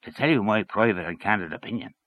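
A man's voice: on the low side, animated, fast, no echo, narrow, tinny audio, natural voice. A man voicing a blustering, scornful middle-aged man who grumbles and gossips. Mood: grumbling.

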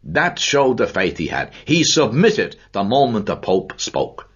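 A man voicing an authoritative middle-aged gentleman who holds forth with slow, emphatic declaiming. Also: lowish voice, big pitch swings, breathy edge, dull audio, pitched above the performer's own voice, full character voice. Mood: solemn.

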